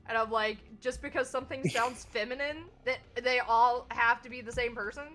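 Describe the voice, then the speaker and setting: A woman with a Southern US accent, hosting a casual stream chat, animated and laughing, swearing freely. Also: on the high side, fairly quick. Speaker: streamer host. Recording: faint background music.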